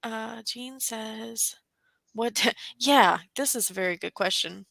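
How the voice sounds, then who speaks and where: A woman's voice: on the high side, takes it slowly, creaky, a bit bright, no room sound. A tired woman chatting informally as co-host of a casual group livestream, giggly and exclamatory, close to her mic.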